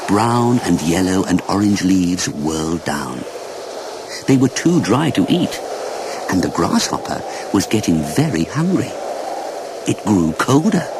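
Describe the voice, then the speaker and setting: A man narrating a children's story as an expressive audiobook reader. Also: sits low, noisy background.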